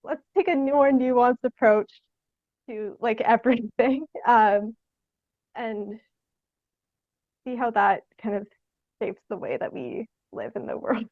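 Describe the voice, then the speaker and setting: A young woman from Canada answering interview questions casually, in a tentative, halting, giggly way. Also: on the high side, fairly even intonation, dry recording. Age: young adult. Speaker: guest, theatre artist. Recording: dry, dull lo-fi sound.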